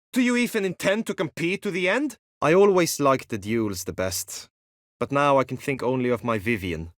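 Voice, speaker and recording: Lovestruck chivalrous knight, animated and earnest male actor. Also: mid-range voice, no echo, studio quality, lightly acted. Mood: indignant, then earnest, subdued. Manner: earnest, courtly, theatrical, archaic phrasing. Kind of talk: video game character acting, courtly formal speech.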